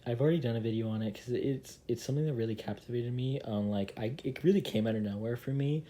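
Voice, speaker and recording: A man's voice: medium pitch, fast. A young man hosting a casual countdown commentary, emphatic and repeating words for stress. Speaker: video host. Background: loud music with guitar.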